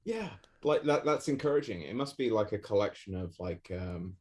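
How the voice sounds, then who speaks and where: A man from England with a fairly low voice talks as a podcast guest. He rambles in a relaxed, self-deprecating way, often restarting his sentences and laughing at himself. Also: normal speed. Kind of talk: casual podcast chat.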